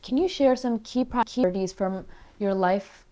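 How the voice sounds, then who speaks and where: Female interviewer, dark mellow voice, polite prepared questions. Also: mid-range voice, a little slow, lo-fi sound.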